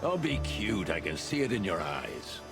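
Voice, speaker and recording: Gravelly, explosive noir police chief. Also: male voice, deep voice, huge pitch swings, normal speed, heavily acted. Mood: angry, sarcastic. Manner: barking, bullying, sarcastic. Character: gruff, hot-tempered police chief, animal in noir city. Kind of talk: film-noir video game acting. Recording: music under the voice.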